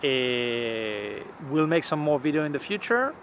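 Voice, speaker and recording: A man's voice: fairly high pitch, big pitch swings, measured pace, a bit bright. A man in his forties with a non-native English accent, reviewing cameras in a casual vlog, hesitant with many fillers and repeated words, recorded outdoors in a city plaza. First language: not English. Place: outdoor urban plaza on a bench. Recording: faint outdoor street noise. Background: faint street ambience.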